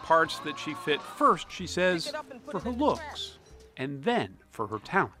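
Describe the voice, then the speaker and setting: A man's voice: fairly high pitch, big pitch swings, measured pace, music in the background. A man narrating a TV news feature in a polished storytelling way. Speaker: TV news correspondent.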